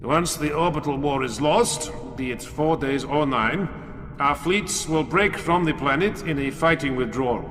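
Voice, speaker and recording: A sober military commander, played by a man, speaks formally and deliberately in a slightly high voice. It is dramatic audiobook acting, echoing in a long hall over music. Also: a bit bright. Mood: sober, resigned.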